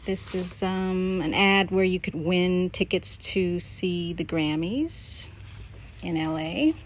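A woman's voice: fairly low pitch, slow. An American woman in her late forties giving a casual, chatty, nostalgic and self-deprecating show-and-tell commentary. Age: late 40s. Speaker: vlogger showing a personal scrapbook. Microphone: handheld phone. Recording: noisy handheld home phone recording.

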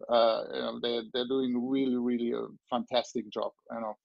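A middle-aged man from Germany with a German accent, speaking casually and haltingly in a video-call Q&A. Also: mid-range voice, level tone, vocal fry, no room sound. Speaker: company co-founder. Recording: slightly dull video-call audio.

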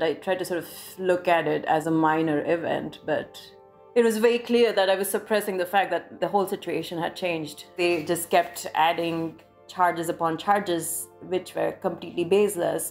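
A young woman from India, a doctoral scholar, recounting a personal experience in a reflective, measured way with frequent restarts. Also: deep voice, darkish tone.

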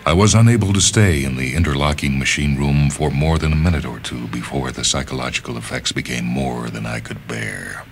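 A man reading a literary short story as a radio narrator, in a measured, deliberate and dramatic delivery. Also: rumbling low. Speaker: reader of short stories on a radio show. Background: background music.

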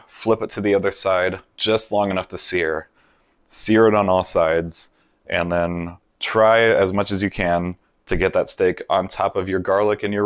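A man with a deep, low voice walks through a recipe as a cooking show host. He sounds relaxed and explains each step plainly. The sound is close and dry, with no room around it. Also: no echo. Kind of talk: voice-over cooking instructions. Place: kitchen in a vacation villa.

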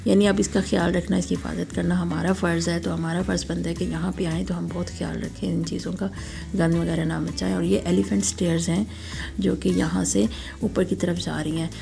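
A hoarse woman from Pakistan with a sore throat, narrating a casual, admiring walking tour for her vlog. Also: lowish voice, warm tone. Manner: chatty, admiring, guiding viewers along. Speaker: travel vlogger.

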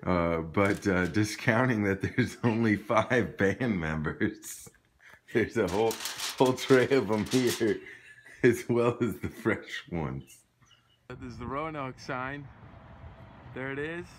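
A man's voice: on the low side, animated, slow pace. A man in his thirties narrating a casual tour vlog into a phone camera, joking and using fillers. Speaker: band member vlogging a tour. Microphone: phone camera at arm's length. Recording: noisy handheld phone audio.

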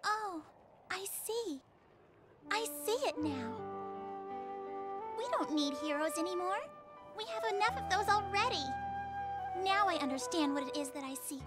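A very high, girlish pitch marks this young warrior princess. She is spirited and devoted, speaking tenderly in video game cutscene style. Prominent music plays behind her. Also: lively intonation, measured pace, pauses often. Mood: earnest, gentle. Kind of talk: English-dubbed video game cutscene acting.

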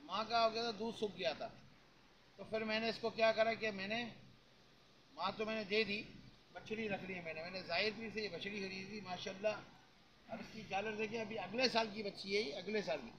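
A man, talking casually to camera outdoors in a cattle yard, pitching a young heifer for sale in a repetitive, chatty way, holding a small mic near his mouth. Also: fairly even intonation, brisk, fairly bright tone. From Pakistan. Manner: chatty, repetitive, frequent religious interjections. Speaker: cattle farm vlogger. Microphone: handheld lavalier mic held near mouth. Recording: noisy outdoor phone recording.

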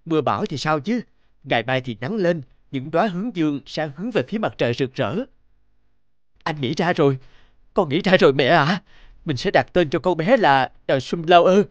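Guy playing an earnest cartoon dad, loving, highish voice, slightly muffled dry sound. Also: animated, normal speed, dry recording, lightly acted. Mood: tender, excited. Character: excitable, loving young father of a newborn girl. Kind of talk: cartoon voice acting. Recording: dull, dry, music underneath.

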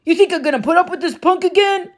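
Brutish bullying stepfather, voiced by a woman narrator: loud, sneering, strained. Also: extremely high pitch, fast talker, pitched above the performer's own voice.

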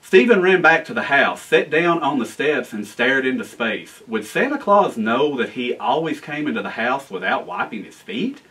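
An American man in his forties reading a children's storybook aloud in an animated, expressive way. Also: mid-range voice, normal speed, few pauses, distant mic, poor audio. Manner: animated, expressive reading with asides to young listeners. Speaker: guest storyteller, town official. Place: library room with bookshelves, indoors.

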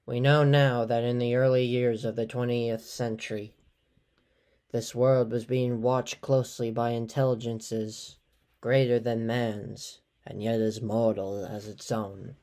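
A man's voice: mid-range voice, little pitch movement, a little slow, a little mellow. A man delivering solemn scripted narration in the manner of an old radio drama.

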